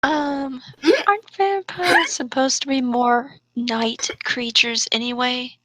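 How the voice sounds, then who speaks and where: Slow-reading, bright-voiced young woman. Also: sits high, steady tone, dry recording. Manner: deliberate, stumbles over misspellings read as written. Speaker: host and reader. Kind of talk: comic read-aloud of bad fan fiction with banter.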